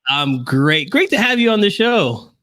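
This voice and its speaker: An upbeat man with a fairly high voice, hosting a casual talk show. He chats in an animated, conversational way. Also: a little slow. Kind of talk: upbeat casual interview chat.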